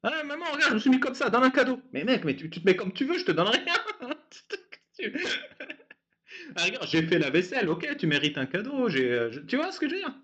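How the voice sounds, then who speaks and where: A man's voice: sits high, very animated, fairly quick, a bit bright. A man hosting a casual talk, sarcastic and laughing often. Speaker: host of a debate channel. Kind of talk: casual mocking chat. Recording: dull lo-fi sound.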